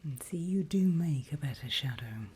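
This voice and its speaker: Breathy, whispering woman as a sly demon mentor. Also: deep voice, expressive, exaggerated voice. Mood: sly, ominous. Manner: slow, teasing, deliberate, hushed. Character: seasoned female demon mentor, vain, teasing, darkly playful. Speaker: demon guide training a newcomer. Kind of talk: whispered ASMR roleplay.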